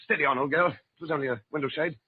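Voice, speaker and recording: Harried colonial farmer, high agitated voice, quick, old radio drama. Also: male voice, slightly rough, no echo. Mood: anxious. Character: frightened, harried colonial plantation farmer husband. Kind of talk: 1940s radio drama acting. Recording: tinny narrow-band old radio broadcast.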